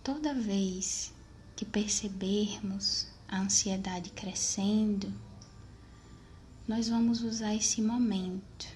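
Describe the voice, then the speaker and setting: A Brazilian woman guides a meditation at a slow pace. Her voice sits at a medium pitch for a woman, and she leaves pauses between measured phrases. Faint noise runs behind her. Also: darkish tone.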